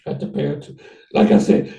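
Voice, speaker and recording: A man hosting a casual conversation, rambling and halting with many restarts. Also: dark tone, echoey room, recorded from a distance. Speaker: host.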